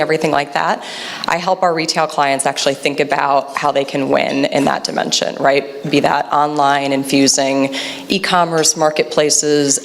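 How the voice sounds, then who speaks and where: A woman in her thirties introducing herself on a conference panel in a measured way with frequent uhs, speaking into a handheld mic in a large room. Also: low-pitched. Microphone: handheld microphone. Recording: long hall reverb, faint hiss.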